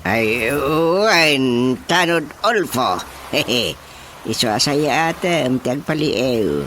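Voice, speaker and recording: A boastful village character, confident and comic. His voice is sharp and slow with big swoops, in radio drama style with faint noise behind. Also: mid-range voice, big pitch swings, exaggerated voice. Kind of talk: Ilocano radio comedy drama acting.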